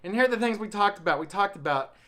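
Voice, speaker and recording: A man's voice: sits high, animated, fast talker, a bit bright. Chatty man giggling through a ramble. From American South. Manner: chatty, laughs at his own jokes. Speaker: comedian vlogger. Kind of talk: rambling comic monologue.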